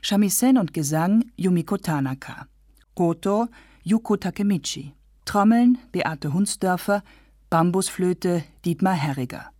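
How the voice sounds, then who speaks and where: A woman's voice: lowish voice, animated, a little slow, darkish tone, dry recording, clean studio sound. A woman reads scripted radio credits in a plain, formal announcing manner. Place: dry studio.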